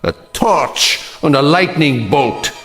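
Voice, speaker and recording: A cutting, scornful old man in a vintage radio play. He speaks slowly with a wide, rising and falling pitch and a mocking, bitter edge, soft music under him. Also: expressive. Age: older.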